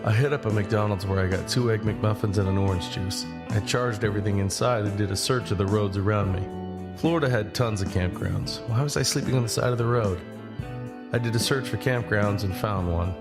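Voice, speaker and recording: A man acting as an easygoing young male hiker tells his story in an audio drama. His voice is low, his delivery conversational and a little guarded. Guitar music plays prominently behind him. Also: brisk, close to an everyday voice. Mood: reflective. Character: John, easygoing but guarded young man hiking long-distance. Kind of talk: first-person audio drama narration and dialogue.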